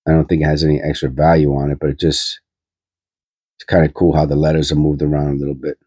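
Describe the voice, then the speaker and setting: A man's voice: very deep voice, monotone, fast, warm tone, breathy edge, dry recording. A man with a stuffy, nasal cold, hosting his coin-hunting channel and rambling aloud with many fillers as he sorts pennies. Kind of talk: casual coin roll hunting commentary.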